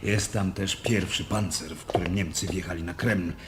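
An actor playing an outspoken, talkative German officer in a Polish radio drama, with a declamatory yet conversational delivery. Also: male voice, sits low, steady tone, normal speed, background noise, close to an everyday voice. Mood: musing, outspoken.

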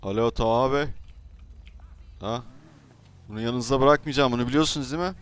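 A man's voice: mid-range voice, a little fast, music in the background. A man improvising pushy, informal role-play in an online game, firing questions at others. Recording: noisy game voice chat.

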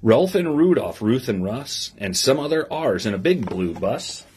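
A middle-aged man reads a picture book to children. His delivery is animated and sing-song at a medium pitch, with simple asides to the listeners. The recording is made in a small office with some noise and clicks behind him. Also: measured pace. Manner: expressive sing-song reading, simple instructions. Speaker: police lieutenant reading to children. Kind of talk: read-aloud story time with asides to young listeners. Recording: clear background noise with clicks.

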